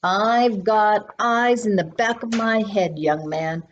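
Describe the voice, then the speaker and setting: A woman's voice: medium pitch, slow, lo-fi sound, slight background noise, close to an everyday voice. A woman reading a storybook as an eccentric, effusive great-aunt in her eighties, gushing and exclaiming. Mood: playful scolding.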